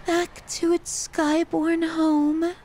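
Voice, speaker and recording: A woman playing an obsessive, lovesick, possessive yandere in an ASMR audio roleplay, with an emotional, halting, dramatic delivery. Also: very high voice, little pitch movement, a little mellow, full character voice. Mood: wistful, aching.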